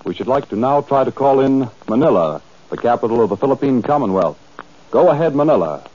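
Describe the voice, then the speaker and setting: A man's voice: medium pitch, animated, darkish tone. Formal male announcer on muffled 1940s radio. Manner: formal, measured calling.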